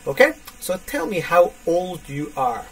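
A man in his thirties teaching a basic English lesson, speaking slowly and repeating phrases for learners. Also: fairly high pitch, sing-song, a bit bright, breathy edge. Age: 30s. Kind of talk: online English lesson for students. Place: indoors, small room. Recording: distant webcam mic with faint hiss.

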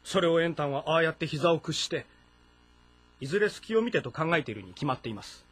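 Warlord's young son: slightly high, husky, urgent, suspicious, for a game drama. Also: male voice, sing-song, fairly quick, fairly bright tone, heavily acted. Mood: distrustful. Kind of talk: dramatic audio-novel game acting.

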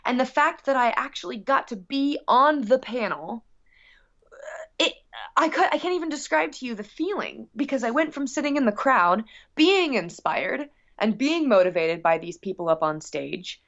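A woman musician chatting casually as an interview guest, gushing and rambling excitedly. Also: fairly high pitch, normal speed. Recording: slightly dull, lo-fi audio.

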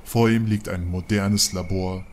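A man's voice: low-pitched, fairly even intonation, unhurried, a little mellow, a little hiss or hum. A man giving scripted narration for an audio drama.

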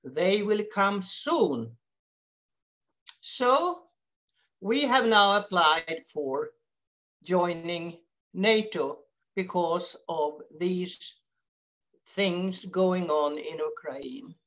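An older woman from Sweden, a Swedish speaker, talking unscripted on a video call with a headset mic, slow and emphatic with wandering phrasing. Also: on the low side, expressive, fairly bright tone, muffled recording. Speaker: webinar speaker. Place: home room, indoors.

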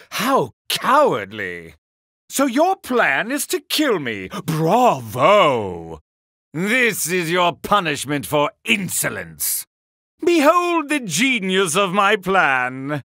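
A man's voice: sits high, sharp tone, dry recording, studio quality, full character voice. A male actor voicing a sneering, theatrical villainous tactician in video game battle lines, haughty and mocking, with a drawling delivery. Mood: mock indignant.

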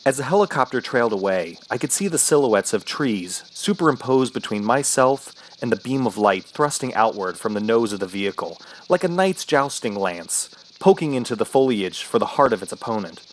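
An actor playing an injured, panicked man alone in the wilderness, narrating his ordeal in halting, theatrical radio horror style. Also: mid-range voice, animated, slight background noise, natural voice, low-quality recording. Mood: tense, searching. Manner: halting, anguished, theatrical delivery. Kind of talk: dramatic first-person radio horror narration. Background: music.

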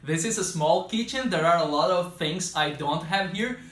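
Male teacher, thirties, higher-pitched and echoey, for a simple vocabulary lesson with careful repetition of key words. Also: animated, a bit bright. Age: 30s. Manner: deliberate, clearly enunciated, repeats key words. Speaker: English teacher. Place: small home kitchen, indoors. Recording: echoey room sound.

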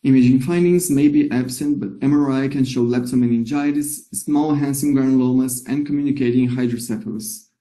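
Man with a very dark, mellow voice. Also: mid-range voice, fairly even intonation, fairly quick, hardly any pauses. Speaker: medical presenter. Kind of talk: reading a case presentation.

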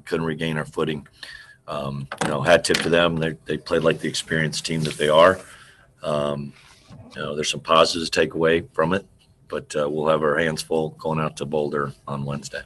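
A middle-aged man, a basketball coach, answering press questions over a video call, halting and trailing off quietly as he restarts sentences. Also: rumbling low, slight background noise. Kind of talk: postgame press conference answers. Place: indoor room in front of a team backdrop. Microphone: video call computer mic.

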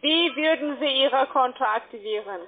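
A woman's voice: high-pitched, normal speed. A woman with a foreign accent, a non-native speaker, talking bluntly and insistently as a call-centre agent. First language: not German. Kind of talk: sales phone call. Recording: tinny, muffled phone line.

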